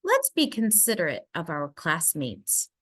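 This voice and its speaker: Woman playing male mouse schoolteacher, storybook, kindly, firm, unhurried, expressive intonation. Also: low voice, full character voice. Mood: firm, patient.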